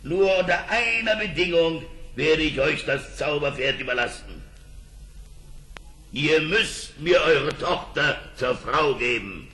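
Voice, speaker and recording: An actor playing a bold, sly old magician from India in a fairy-tale radio play, courtly and theatrical. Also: male voice, a little high, lively intonation, slow, pushed and bright, heavily acted, rough recording. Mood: smooth, demanding. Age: older. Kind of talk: radio play acting for children.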